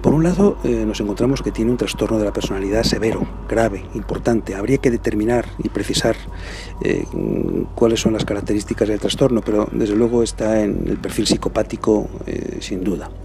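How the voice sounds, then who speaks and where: A man's voice: fairly low pitch, brisk, background noise. A man giving an expert forensic explanation, emphatic and piling up synonyms. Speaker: forensic psychiatrist, expert guest. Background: music.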